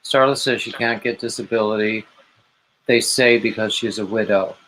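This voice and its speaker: A man's voice: on the low side, steady tone, normal speed, a bit bright, a little hiss or hum, low-quality recording. A man hosting a live stream who chats casually and answers his guest with short, sympathetic replies. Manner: brief, sympathetic back-channel replies. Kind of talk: casual conversation.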